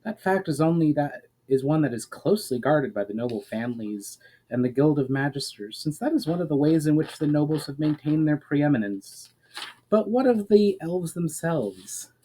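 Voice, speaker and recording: A man's voice: a little high, lively intonation, moderate pace, mellow, breathy edge, voice pushed up, rough recording. Man playing a fantasy noblewoman, earnest, curious, formal, storybook dialogue. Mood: curious, concerned. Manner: formal, questioning. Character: inquisitive noblewoman, earnest and well-informed. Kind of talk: dramatic fantasy storybook reading.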